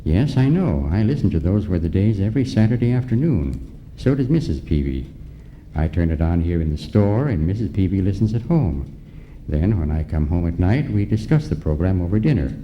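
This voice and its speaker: A man's voice: sits low, big pitch swings, a little fast. A man playing a genial, contrary old shopkeeper with a folksy sing-song manner, in an old-time radio comedy on a dull, faintly noisy stage recording. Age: older. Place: live on stage in a large hall.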